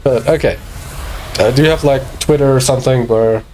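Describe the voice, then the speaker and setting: A man from Austria whose first language is German, hosting a casual online interview. He talks haltingly, laughs often, and has a cough. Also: mid-range voice, warm tone, a little hiss or hum. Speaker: interviewer and channel host.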